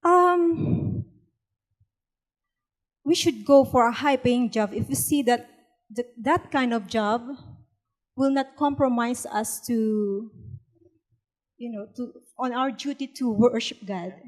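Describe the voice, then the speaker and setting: A woman's voice: on the high side, slow pace, pauses often. Earnest woman from the Philippines. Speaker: PhD student panelist. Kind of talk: panel discussion answer.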